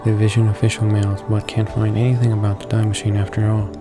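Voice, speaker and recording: A man narrates a visual novel for a let's play in a lowish, strikingly monotone voice. He reads from the screen and trips on the odd word, with game music clearly behind him. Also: quick pace. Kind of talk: read-aloud narration of game text. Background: game background music.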